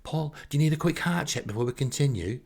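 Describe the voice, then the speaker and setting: A man's voice: a little high, lively intonation, quick pace, vocal fry, a little mellow, pitched above the performer's own voice. Man doing a fussing, serious retired nurse lady. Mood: concerned. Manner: earnest, probing.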